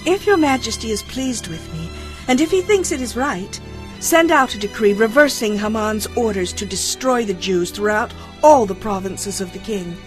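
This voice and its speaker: A woman's voice: medium pitch, expressive, normal speed, over music, lightly acted, poor audio. An actress playing an earnest, deferential queen in a scripture audio drama, speaking formally and imploringly. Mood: pleading, anguished.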